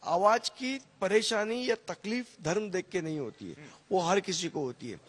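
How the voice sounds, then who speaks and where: A man's voice: high-pitched, expressive, normal speed, a bit bright, slightly rough. A man speaking as a party politician, answering press questions.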